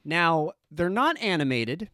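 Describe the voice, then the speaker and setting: Male podcast host, bright high voice, close dry mic. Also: sing-song, slow, no echo. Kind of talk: podcast talk introducing a segment.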